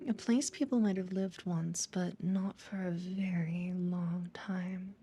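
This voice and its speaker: A woman playing a calm, quietly controlling therapist in a slow, breathy, whispered ASMR hypnosis roleplay, soothing yet ominous. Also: on the low side, a little hiss or hum. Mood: hushed, eerie.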